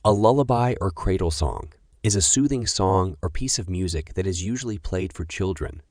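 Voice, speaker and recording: A man's voice: low-pitched, lively intonation, normal speed, a little mellow, no room sound, clean studio sound. A man reading scripted informational passages aloud as language test practice. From India.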